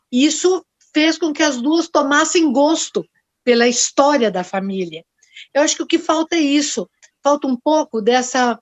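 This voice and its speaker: A woman in her sixties moderating a panel, speaking in a measured, explanatory way over a video call. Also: a little high, no echo. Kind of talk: discussion panel talk. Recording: dry, dull video call audio.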